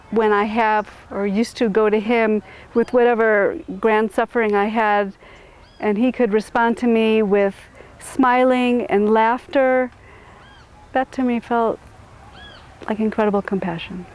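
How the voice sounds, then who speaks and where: Thoughtful, halting woman. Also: a little high, rough recording. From suburban Chicago, USA. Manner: thoughtful, halting, many restarts. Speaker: interviewee. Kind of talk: reflective interview answers.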